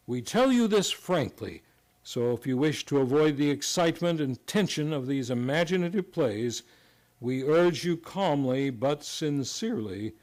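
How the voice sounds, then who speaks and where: Higher-pitched male radio announcer, theatrical. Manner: formal, theatrical. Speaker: radio show host and announcer. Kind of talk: scripted old-time radio announcing.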